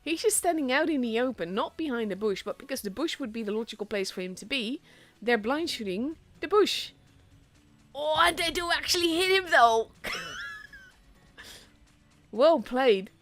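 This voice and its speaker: A young Dutch woman, a native Dutch speaker, casting a tank game solo in a chatty, self-deprecating way that grows excited at big plays. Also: high-pitched, a little slow, faint music behind. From Netherlands. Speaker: esports caster.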